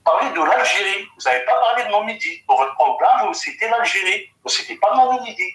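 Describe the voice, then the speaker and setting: High-voiced man on a distant, echoey call. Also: expressive, a little fast, a bit bright. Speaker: caller. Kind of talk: challenging questions.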